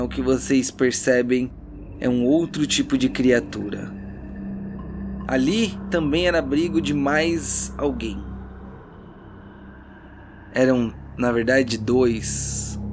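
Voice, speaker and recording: A man's voice: mid-range voice, vocal fry, background noise. A Brazilian man running a tabletop role-playing game as narrator, mixing theatrical, suspenseful storytelling with casual banter. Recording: loud background music under the voice.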